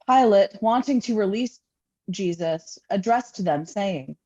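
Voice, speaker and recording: A woman's voice: mid-range voice, expressive, takes it slowly, dark tone. A woman pastor giving a dramatic scripture reading for worship, on a dull recording. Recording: dull, lo-fi sound.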